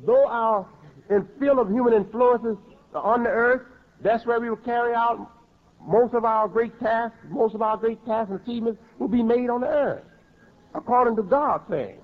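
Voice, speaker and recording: A man's voice: very high voice, moderate pace, narrow, tinny audio. A man preaching a sermon in an emphatic way, repeating and rephrasing his words as he builds each point.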